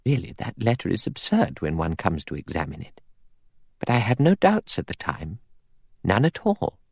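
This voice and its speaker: A severe old spinster from a storybook, acted out by a man. She is creaky and softly spoken, with brisk, precise diction. Her manner is coldly pious and disapproving, and the sound is close and dry. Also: fairly low pitch, huge pitch swings, dry recording, heavily acted. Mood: brisk annoyance. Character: prim, pious, severe elderly spinster, coldly self-righteous.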